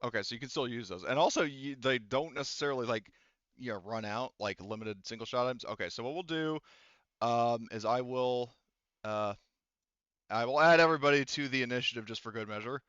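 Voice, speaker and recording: A man's voice: mid-range voice, a little fast, fairly bright tone, clear, resonant tone, dry recording, lo-fi sound. A man running a tabletop role-playing game as game master, casually thinking aloud as he handles the rules.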